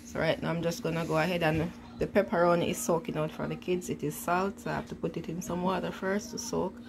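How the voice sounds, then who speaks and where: Home-cook vlogger, woman, stuffy cold, deep gritty voice, chatty and repetitive. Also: level tone, fast, gravelly, noisy background. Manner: chatty, often repeats "yes, guys". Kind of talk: casual cooking demonstration.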